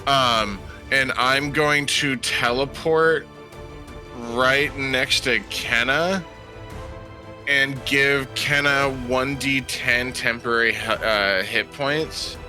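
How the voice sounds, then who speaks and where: A man playing a tabletop roleplaying game, casually narrating his character's moves and haltingly thinking aloud. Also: medium pitch, steady tone, bright, forceful tone, faint music behind.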